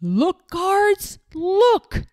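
A woman's voice: very high voice, big pitch swings, extremely slow, a little mellow, no room sound, studio quality, pitched above the performer's own voice, full character voice. A woman voicing a brave young boy hero in a storybook, exclaiming urgently and defiantly. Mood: taunting.

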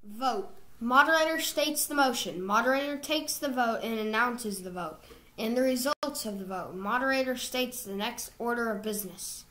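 A woman reading procedural rules from slides in a measured, scripted narration. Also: on the high side, a bit bright, poor audio.

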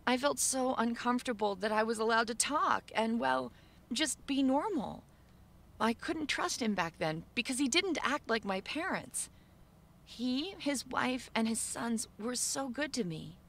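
A woman giving measured, subdued first-person narration for an animated story video. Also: on the high side.

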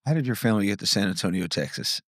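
Fast-talking man hosting a podcast. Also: on the low side, very animated, a bit bright, no room sound, studio quality. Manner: eager, curious questioning. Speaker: podcast host. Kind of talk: conversational interview. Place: small podcast studio. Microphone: studio mic on boom arm.

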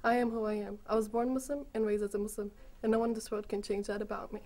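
A woman's voice: medium pitch, little pitch movement, fast talker, darkish tone. A teenage girl giving an earnest, composed personal testimony. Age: teens. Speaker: student.

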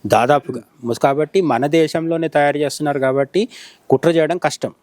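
Fast-talking Telugu man with Telangana accent. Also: a little high, a little mellow, no room sound. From India. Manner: explanatory, poses questions and answers them. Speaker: journalist interviewed as commentator. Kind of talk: conversational news analysis.

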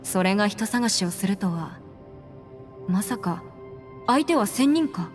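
A woman acting in a Japanese game as a composed, proud young noblewoman adventurer, speaking in a measured, firm and formal way. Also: mid-range voice, lively intonation, close to an everyday voice. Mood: inquiring, earnest. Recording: long reverb, faint music behind.

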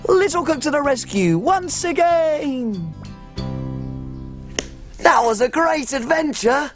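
A woman's voice: high voice, animated, slow pace, soft background music. An actress playing a tiny, cheerful female cook who tells stories in a bubbly, sing-song children's TV manner. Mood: cheery. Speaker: little cook. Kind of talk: children's TV acting.

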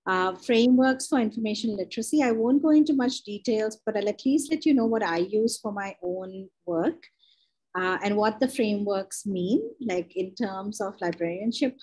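A woman's voice: mid-range voice, darkish tone, breathy edge, rough recording. A young adult woman from India, a university librarian, presenting a webinar over a video call in a conversational way, with many fillers and self-corrections.

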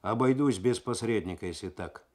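A man's voice: lowish voice, normal speed, a little mellow, natural voice. A man voicing a brusque, cagey thief in a radio story, curt and dismissive in manner.

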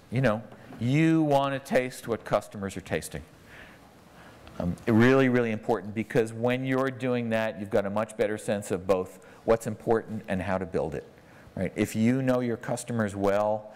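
A man's voice: on the low side, darkish tone. A middle-aged man giving a conference talk on stage, speaking conversationally and often ending points with "right?", on a lapel mic. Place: large conference hall on stage. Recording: long reverb of a large hall.

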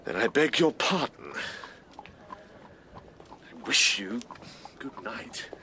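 An actor playing a dignified, exasperated gentleman in a period radio drama, speaking formally and sounding affronted. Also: medium pitch, big pitch swings, drawn-out words, slight background noise, exaggerated voice. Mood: affronted, restrained. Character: exasperated, dignified gentleman, estranged old friend.

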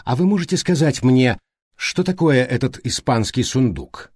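A thoughtful, courteous detective from a mystery audiobook, played by a male narrator. He speaks deliberately and with polite curiosity. The voice is mellow and slightly husky. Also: mid-range voice, animated, no room sound, clean studio sound, close to an everyday voice. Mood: polite, inquisitive.

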